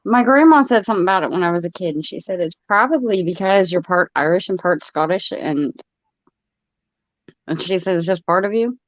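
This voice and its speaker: A woman's voice: lowish voice, brisk, no room sound. Middle-aged woman, Ohio accent with a trace of Florida, rambling live-stream chat, laughs a lot, dull dry lo-fi mic. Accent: Ohio, with some Florida. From United States. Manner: rambling and chatty, laughing often.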